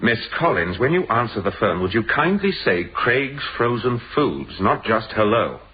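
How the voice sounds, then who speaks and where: An actor playing a brisk, driven, impatient businessman who runs a frozen-food firm, in a radio drama. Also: medium pitch, big pitch swings, lightly acted. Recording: noisy, muffled old radio drama recording.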